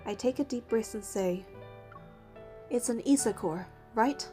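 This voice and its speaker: An earnest young heroine of a story game, acted by a woman, with a fairly high, softly mellow voice. She speaks and narrates as a determined hunter, with music underneath. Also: a little slow, close to an everyday voice. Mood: tense, serious. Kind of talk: scripted game dialogue and first-person narration.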